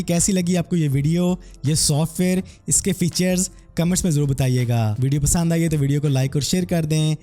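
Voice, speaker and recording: A man narrating a scripted software tutorial as its presenter. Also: on the high side.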